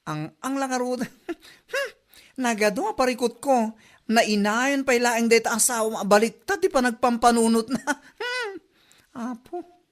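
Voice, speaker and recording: A woman doing a complaining ilocano neighbor lady, high voice, gossipy. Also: expressive, measured pace. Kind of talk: comedy drama acting.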